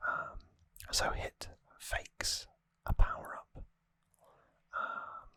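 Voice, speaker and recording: An ASMR host whispering an explanatory recap in a hushed, unhurried manner, close to the microphone. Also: no echo.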